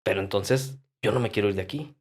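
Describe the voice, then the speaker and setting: A man's voice: lowish voice, fast, breathy edge. Emphatic, salty-tongued Mexican man. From Durango, Mexico. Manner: emphatic, colloquial, salty, uses rhetorical questions and acted-out quotes. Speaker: podcast guest. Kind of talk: casual podcast conversation with anecdotes.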